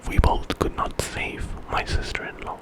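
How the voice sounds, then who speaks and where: A man's voice: moderate pace, close to an everyday voice, poor audio. A determined young village man , acted by a male storyteller, presses his case in a whisper. He is insistent and urgent, in the manner of an ASMR folk tale.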